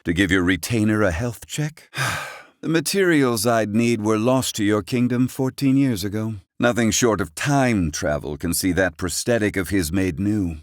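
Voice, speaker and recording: Give this one to a man playing a proud, curmudgeonly older scholar in a fantasy game. His voice sits low and moves with theatrical, lively inflection. He speaks in curt, haughty, old-fashioned phrases, captured close and very dry. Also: normal speed, no room sound, lightly acted. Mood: curt, grave. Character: gruff, proud, curmudgeonly older male doctor-inventor in fantasy. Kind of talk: theatrical video game voice acting.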